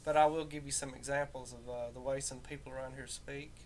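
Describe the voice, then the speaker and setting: A man with a softened Southern Appalachian accent, talking casually and off the cuff in a halting, folksy way. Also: steady tone. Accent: Southern Appalachian American, somewhat softened. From rural Southern United States, southern Appalachia. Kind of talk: casual unscripted personal talk. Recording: rumbly home recording with background noise.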